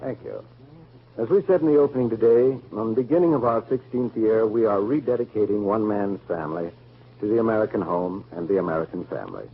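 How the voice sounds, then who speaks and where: Through a narrow, tinny vintage radio sound, an earnest family man. He speaks in formal, emphatic oratory with a husky tone and a highly varied melody, in 1940s radio style. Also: animated, fast. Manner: formal, emphatic, rhetorical speechmaking.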